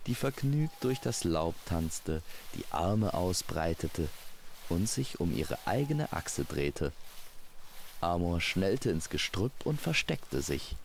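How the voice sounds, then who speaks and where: A man reads a fantasy tale as its storyteller. His voice is low and gravelly, and he takes his time with lyrical, measured phrasing. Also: slight background noise. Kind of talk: scripted fantasy narration in an audio play.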